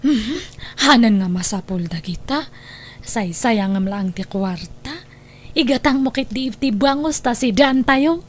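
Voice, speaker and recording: A woman's voice: fairly high pitch, lively intonation, unhurried. A woman playing a blunt, practical young woman with an Ilocano accent in an audio drama. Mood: sulky. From Philippines. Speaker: voice actor in comedy drama.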